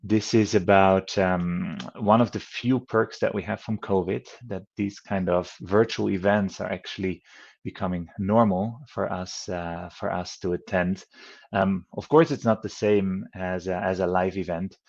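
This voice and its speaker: Male moderator from the Netherlands, 30s, low gravelly voice, friendly and rambling, muffled video-call sound. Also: steady tone, measured pace, darkish tone. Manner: friendly, rambling with many fillers. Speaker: event moderator. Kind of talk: online event hosting.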